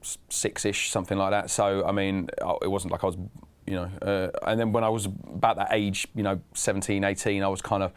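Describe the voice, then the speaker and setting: A man's voice: low-pitched, flat delivery, brisk, clear, resonant tone. A man in his thirties who grew up in Russia, a guest actor and filmmaker talking casually, often stumbling and restarting his sentences. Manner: stammering restarts, chatty, laughs often. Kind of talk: casual interview.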